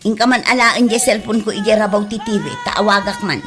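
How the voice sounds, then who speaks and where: A woman's voice: mid-range voice, steady tone, brisk, music in the background, close to an everyday voice. A woman playing a grumpy mother who complains and nags in exasperation, in an Ilocano comedy drama. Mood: irritated. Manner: exasperated, nagging, swooping. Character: grumpy, complaining mother irritated by noisy neighbours.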